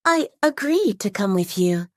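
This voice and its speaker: A woman's voice: mid-range voice, big pitch swings, measured pace, no echo, studio quality. A woman voicing a timid, uncertain young female werewolf with an apologetic manner in an audio drama. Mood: puzzled.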